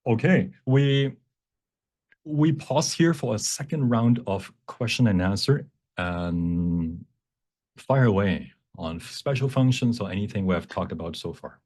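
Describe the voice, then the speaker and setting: A man presenting a live software workshop and taking questions, explaining in a halting way with phrases that trail off. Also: on the low side.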